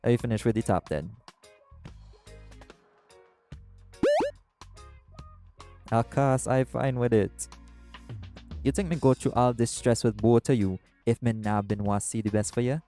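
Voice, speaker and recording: A man voicing a doting, laid-back seagull shifter boyfriend in an intimate audio roleplay, speaking softly and affectionately. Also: mid-range voice, steady tone, moderate pace, soft background music, close to an everyday voice. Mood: tender. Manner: soft-spoken, affectionate, creole-inflected speech.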